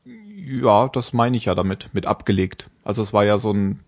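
A man's voice: fairly low pitch, big pitch swings, moderate pace, a little mellow. Chatty man rambling through a recap. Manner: rambling, self-correcting, with frequent fillers and laughs. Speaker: podcast co-host. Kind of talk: casual chat recapping a TV episode.

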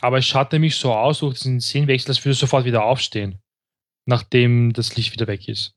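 A man's voice: mid-range voice, steady tone, fairly quick, no room sound. Sceptical man chatting, very dry recording. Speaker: podcast co-host. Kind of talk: casual discussion of a TV series.

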